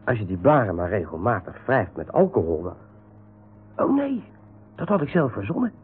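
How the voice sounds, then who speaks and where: A man's voice: lowish voice, sing-song, moderate pace, husky, exaggerated voice. Cheeky male rogue, swooping intonation, wheedling and teasing, thin phone-like radio drama sound. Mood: scheming. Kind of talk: radio play acting. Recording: narrow, phone-like radio recording.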